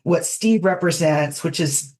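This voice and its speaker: A woman's voice: lowish voice, measured pace, darkish tone. A woman speaking as a podcast guest in a casual interview, reflective and effusive with emphatic asides. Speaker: podcast guest, fanfiction audiobook creator.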